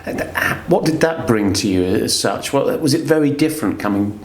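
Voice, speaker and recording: A man's voice: medium pitch, animated, moderate pace, a little hiss or hum. Man interviewing in echoey room. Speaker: podcast host, interviewer. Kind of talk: interview questions and guest introduction. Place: indoor room at a company. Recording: roomy echo.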